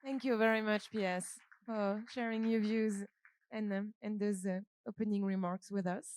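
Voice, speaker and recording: A woman moderating a business panel, introducing speakers in a measured way with frequent ums. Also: mid-range voice, fairly even intonation, a little mellow, a little hiss or hum. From France.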